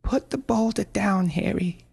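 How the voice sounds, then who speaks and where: A man voicing a frantic young mother who stammers and pleads in a high, strained voice. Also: measured pace, creaky, a little mellow, breathy edge, heavily acted.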